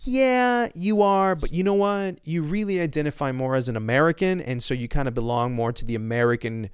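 A man's voice: a little high, a little fast, clear, resonant tone, no room sound, studio quality. A man hosting a casual podcast chat, talking animatedly and acting out other people's viewpoints. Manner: animated, acts out quoted viewpoints.

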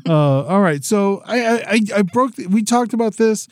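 A man's voice: high voice, expressive, normal speed, no room sound, studio quality. A man in his forties co-hosting a podcast, chatting casually in a laid-back, rambling way and laughing often. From Florida. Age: 40s. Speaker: podcast co-host. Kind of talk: casual chat.

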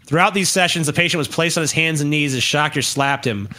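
A man's voice: a little high, quick pace, bright, forceful tone. A man co-hosting a podcast, bantering casually with profanity and reading news aloud in a flippant, crude way. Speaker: podcast co-host.

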